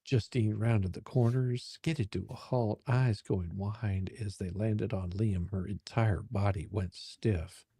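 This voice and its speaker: A man's voice: fairly low pitch, steady tone, slow, hardly any pauses, warm tone, slightly rough, no room sound, clean studio sound. A man narrating a dramatic reading of a romance story.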